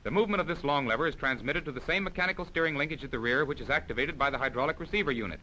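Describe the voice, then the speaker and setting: Fast, bright-voiced man narrating a training film. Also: fairly high pitch, slight background noise, low-quality recording. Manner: formal, precise, explanatory. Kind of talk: scripted narration, 1940s military training film.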